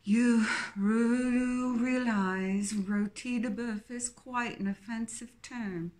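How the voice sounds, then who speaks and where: A woman's voice: fairly high pitch, fairly even intonation, a little mellow, pitched above the performer's own voice. A cold, snobbish young woman with a posh English accent, put on by a woman narrator. She draws out her words with icy, haughty politeness, a slightly raised, careful delivery. Storybook reading. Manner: haughty, drawn-out, icily polite.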